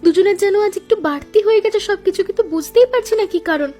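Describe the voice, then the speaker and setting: A woman voicing a practical young daughter-in-law in a cartoon, talking in a brisk, expressive way. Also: extremely high pitch, faint music behind.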